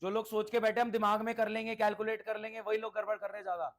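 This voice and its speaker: Male teacher, notably high voice; interactive lesson delivery with repetition, check-in questions and English terms mixed in. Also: level tone, rapid-fire. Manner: instructive, repeats points, checks understanding with questions. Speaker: accountancy teacher.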